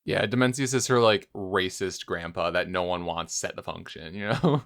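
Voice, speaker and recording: Guy podcast cohost, kinda low voice, informal jokey gamer talk. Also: moderate pace, vocal fry, fairly bright tone. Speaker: podcast co-host. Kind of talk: casual chat about a video game.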